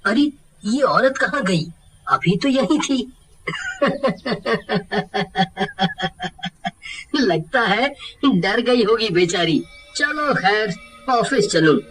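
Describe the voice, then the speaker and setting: An earnest, somewhat timid male engineer voiced by a man in a horror cartoon story. His delivery is dramatic and very slow, prone to stammering, with clear background music. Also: high voice, expressive, a bit bright, exaggerated voice, poor audio. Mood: puzzled. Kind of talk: animated horror story dialogue.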